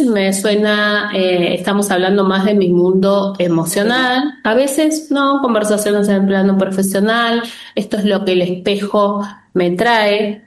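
Gentle woman explaining in an echoey room. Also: medium pitch. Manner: gentle, explanatory, drawn-out phrasing with frequent "¿no?". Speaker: spiritual workshop host. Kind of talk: answering viewer questions in a live session.

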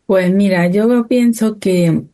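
A woman, calmly reflecting as a co-host. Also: medium pitch, slow pace. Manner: calm, reflective, thinking aloud.

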